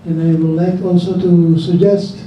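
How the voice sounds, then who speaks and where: A man from Chile with a Chilean accent and Spanish as his first language, speaking deliberately from the audience with pauses between phrases. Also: high-pitched, little pitch movement, warm tone, a little hiss or hum. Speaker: audience member. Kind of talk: personal testimony to an audience. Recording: very echoey room, dull distant sound.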